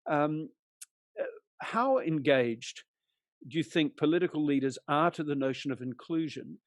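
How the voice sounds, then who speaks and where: A man's voice: fairly high pitch, expressive, normal speed, no room sound. A man raised in New Zealand, moderating a video-call panel in a conversational way. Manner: conversational, summarizing. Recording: dry video call audio.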